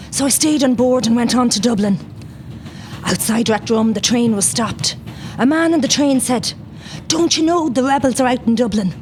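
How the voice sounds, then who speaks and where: Actress, determined young rebel woman, witness narration, fairly high voice, faint noise. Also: close to an everyday voice. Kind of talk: dramatised first-person witness narration.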